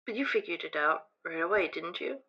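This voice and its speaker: A woman's voice: lowish voice, animated, a little fast, pushed and bright, breathy edge, full character voice. A woman playing a nervous fifteen-year-old calling a radio show, hesitant and apologetic, on a slightly muffled line. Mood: apologetic, unsure. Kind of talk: improvised audio drama.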